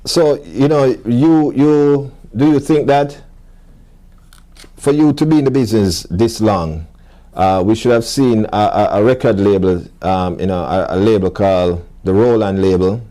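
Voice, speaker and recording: Mellow-voiced man hosting an interview. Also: fairly low pitch. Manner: halting questions with many restarts. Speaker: interview host. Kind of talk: casual interview.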